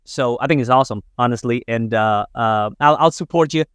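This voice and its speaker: A man's voice: mid-range voice, a little fast, fairly bright tone, smooth, no room sound. A man from Spain hosting a podcast in English, rambling with many fillers and hesitations. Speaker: podcast host. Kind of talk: casual interview.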